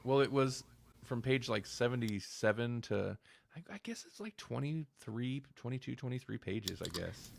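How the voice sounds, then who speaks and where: A man's voice: medium pitch, expressive, moderate pace, creaky. A man co-hosting a podcast, talking through a casual review in a hedging, think-aloud way. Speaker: podcast co-host.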